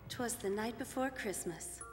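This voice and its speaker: An actress playing a gentle woman who recites verse in a stage drama. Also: on the high side, unhurried, close to an everyday voice. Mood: gentle, festive. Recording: reverberant hall, music behind.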